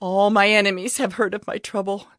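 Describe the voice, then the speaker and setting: A woman's voice: medium pitch, level tone, a little fast, a bit bright, no echo, studio quality, close to an everyday voice. An actress playing an anguished woman who personifies a ruined city, mournful and beseeching in a dramatized scripture reading. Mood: bitter pleading.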